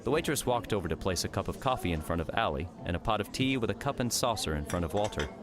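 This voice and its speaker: A man narrating a scripted audiobook story in a measured, dramatic storytelling manner. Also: on the low side, animated, a crowd in the background.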